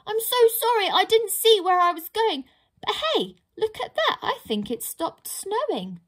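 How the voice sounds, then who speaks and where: A woman voicing a small, frightened female pixie who blurts out the truth in a high, squeaky voice. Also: sing-song, unhurried, fairly bright tone, exaggerated voice. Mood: anxious.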